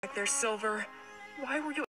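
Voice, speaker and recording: Woman acting high-voiced, earnest young cat heroine. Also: normal speed, bright, forceful tone. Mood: earnest, forgiving. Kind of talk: cartoon character acting. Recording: music behind the voice.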